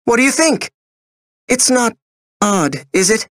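Casting: an earnest young prince groom for a game, formal and modest, lively intonation, close dry recording. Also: female voice, a little high, a little slow, no echo. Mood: uneasy, polite. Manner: courteous, formal. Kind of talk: video game character acting.

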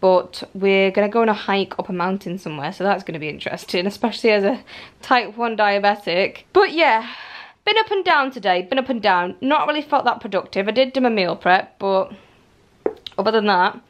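A woman's voice: mid-range voice, lively intonation, brisk. A young woman in her twenties, talking to camera at home in a casual, rambling vlog, full of likes and ums. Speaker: vlogger. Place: living room at home, indoors.

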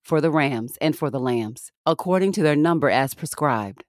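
A woman reads scripture aloud in a formal, deliberate, measured manner with clear phrasing. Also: low voice, animated, dry recording, studio quality. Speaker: podcast scripture reader.

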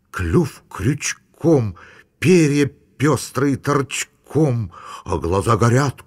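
A man narrating a rhymed fairy tale for children in an expressive, sing-song manner. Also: mid-range voice, husky. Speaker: storyteller.

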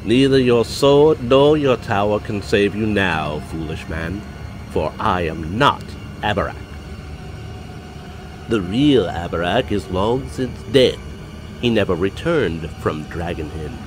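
A man voicing a sinister old male wizard in disguise who turns cold and menacing, speaking in slow, ominous pronouncements. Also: lowish voice, expressive, music in the background.

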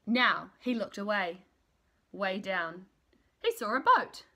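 A woman in her thirties reading a children's storybook aloud in an expressive, deliberate, emphatic way. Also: medium pitch, slight background noise. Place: small room at home, indoors.

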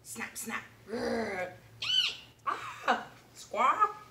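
A young woman teacher reading a picture book aloud with lively, expressive storytelling, in a classroom reading corner recorded from a distance. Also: a little high, drawn-out words, background noise. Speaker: primary school teacher. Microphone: camera at a distance. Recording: echoey room, mic far off.

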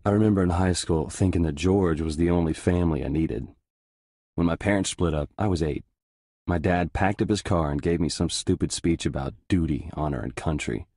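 Weary American paratrooper narrator, war game. Deep, rough-edged, somber, reflective, measured. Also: male voice, mellow, dry recording, studio quality. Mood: grieving. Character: weary young American paratrooper squad leader, haunted and reflective. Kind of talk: scripted first-person war narration.

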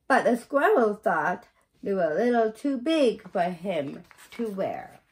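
A middle-aged woman reading a children's picture book aloud in a careful, deliberate manner. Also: mid-range voice, sing-song. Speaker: storybook reader. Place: small indoor bedroom.

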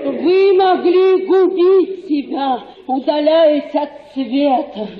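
A woman's voice: high-pitched, slow pace. An actress playing a scheming middle-aged society mother in a radio play, effusive and melodramatic. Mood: gushing. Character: scheming provincial society mother, gushing and domineering. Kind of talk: theatrical radio drama acting. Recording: dull, echoey radio drama recording.

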